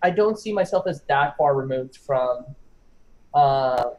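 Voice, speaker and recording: A young man chatting casually on a group call, hedging and halting as he goes, with some swearing. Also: medium pitch, lively intonation, darkish tone. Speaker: discussion participant. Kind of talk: casual chat.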